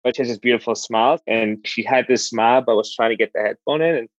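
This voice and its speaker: A man in his thirties casually telling his own love story in a relaxed, rambling way, with plenty of fillers. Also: mid-range voice, fast, dry recording, highs cut off. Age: 30s. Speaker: interviewee.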